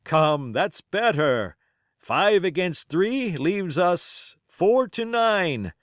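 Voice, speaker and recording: A man's voice: high-pitched, big pitch swings, extremely slow, fairly bright tone, dry recording, clean studio sound, voice pushed up, heavily acted. A man voicing a gallant, urgent sea captain from an adventure storybook, barking out clipped orders. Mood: eager, heartened.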